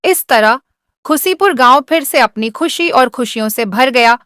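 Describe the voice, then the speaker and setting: A woman's voice: high-pitched, lively intonation, a little slow, a bit bright, no room sound, clean studio sound. A woman reading a scripted moral tale as a storyteller. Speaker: story narrator.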